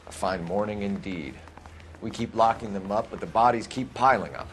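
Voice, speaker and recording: An actor playing an earnest, determined homicide detective in a video game, with a clipped, serious way of talking. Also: male voice, fairly low pitch, normal speed, a little hiss or hum, close to an everyday voice. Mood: grim. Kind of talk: video game cutscene acting.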